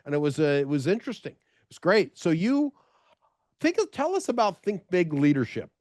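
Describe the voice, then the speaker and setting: Chatty American podcast host. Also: male voice, sits high, big pitch swings, normal speed, darkish tone, dry recording, studio quality. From United States. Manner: chatty and rambling, with frequent restarts. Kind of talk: casual interview chat.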